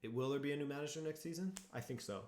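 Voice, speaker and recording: A man's voice: a little high, racing, darkish tone. Chatty man in an echoey room. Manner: chatty, reacts to match moments, answers viewers directly. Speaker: streamer hosting a football watchalong. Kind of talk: casual live-stream chat and match reactions.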